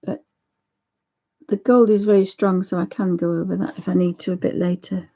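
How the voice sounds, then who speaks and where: Woman with a croaky dark voice painting live, chatting away, bit dull sounding. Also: lowish voice, steady tone, normal speed. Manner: chatty, thinks aloud while painting, greets viewers. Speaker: art channel host. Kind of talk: live painting demo chat.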